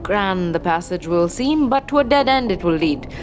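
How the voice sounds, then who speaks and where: A woman's voice: lowish voice, expressive, normal speed, over music, lightly acted. A woman playing a brooding, grudging fortune-teller in a fantasy audio drama, speaking in inverted archaic phrases with an ominous manner. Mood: musing, ominous.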